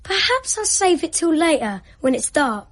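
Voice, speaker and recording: Mischievous child, high voice, bold, teasing, bossy, radio drama. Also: female voice, very animated, moderate pace. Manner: taunting, cocky.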